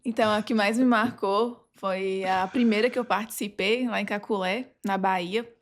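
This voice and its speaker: A Brazilian woman speaking as a podcast guest, casually recounting experiences in informal, halting phrases. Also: mid-range voice, steady tone. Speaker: podcast guest, legal advisor. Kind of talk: casual anecdotal conversation.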